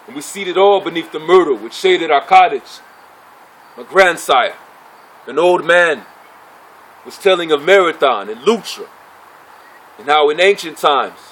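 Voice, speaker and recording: Gladiator leader male actor, high register, proud and bitter, theatrical monologue delivery, declamatory with weighted pauses. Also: low-quality recording. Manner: declamatory, formal oratory with deliberate pauses. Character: proud, bitter gladiator chief addressing his fellows.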